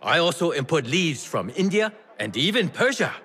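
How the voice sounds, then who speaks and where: Game role: wily old tea trader, bright, swooping delivery, theatrical and teasing. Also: male voice, on the high side, sing-song, normal speed, husky, heavily acted. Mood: shrewd, familiar. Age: older. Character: lively old Chinese tea merchant, wily and affectionate. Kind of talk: video game character acting.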